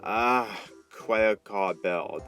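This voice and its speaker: A man's voice: on the low side, very slow, a bit bright, soft background music. A man voicing a caring but firm teenage girl who owns a dog, speaking emphatically in a story reading. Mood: musing.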